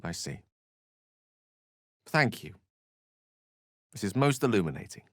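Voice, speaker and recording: A man's voice: on the low side, big pitch swings, slow pace, a bit bright, no echo, clean studio sound, heavily acted. A man voicing a courteous, self-assured wizard companion in a video game, articulate and wryly theatrical. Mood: measured. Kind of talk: video game character voice lines.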